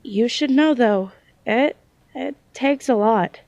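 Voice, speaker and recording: A woman playing a hesitant, self-doubting young psychic in a naturalistic audio drama, speaking in halting phrases. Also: on the high side, creaky, darkish tone, no room sound, muffled recording. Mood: unsure, explaining.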